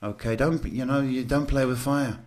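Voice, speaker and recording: A man hosting live psychic readings, talking casually and haltingly as he advises people, often checking in with a short questioning word. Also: medium pitch. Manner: halting, repeats "okay" as a check. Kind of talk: live advice to callers, casual spiritual talk.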